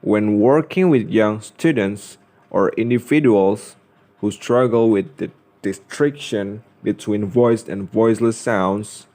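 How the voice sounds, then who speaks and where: A young man reads a scripted lesson as a student presenter, carefully and haltingly, pausing in the middle of phrases. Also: lowish voice, a little mellow. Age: young adult.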